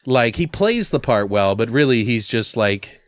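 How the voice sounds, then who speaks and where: Male podcast co-host, crude and rambling, lively swooping pitch, dry close sound. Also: unhurried, no echo. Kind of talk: casual comedic brainstorming chat.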